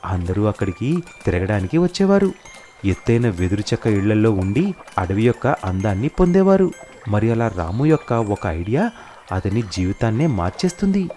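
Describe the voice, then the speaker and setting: నీతి కథను రాసిన పాఠంలా వివరిస్తున్న పురుష వ్యాఖ్యాత. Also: male voice, on the low side, sing-song, normal speed, warm tone, faint music behind. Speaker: narrator. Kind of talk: scripted moral story narration.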